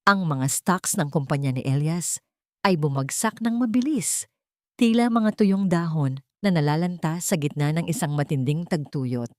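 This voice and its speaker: A woman narrating a scripted drama story in a measured, dramatic way. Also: low-pitched, darkish tone, dry recording, clean studio sound.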